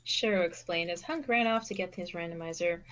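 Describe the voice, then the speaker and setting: A woman's voice: fairly low pitch, a little fast, a bit bright. A woman giving scripted narration as the narrator of an amateur fan fiction reading.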